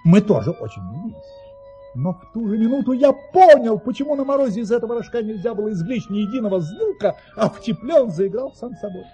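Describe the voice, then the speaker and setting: An actor playing a genial baron who spins tall tales in an audio play, savouring them with dramatic pauses. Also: male voice, high voice, big pitch swings, dark tone, faint music behind. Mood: excited, playful. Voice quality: husky.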